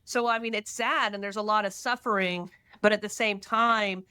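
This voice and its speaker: Pregnant lady from texas chatting on a podcast, mid pitch, fast bursty storytelling, dry sound. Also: clear, resonant tone, no room sound. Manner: animated, talks fast in bursts, tells stories with dramatic asides. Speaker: podcast guest. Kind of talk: casual faith-centered conversation.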